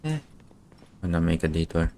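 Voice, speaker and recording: A man's voice: low voice, big pitch swings, a little fast, warm tone, slight background noise. A man streaming a video game, giving casual, reactive commentary as he mutters to himself and swears now and then.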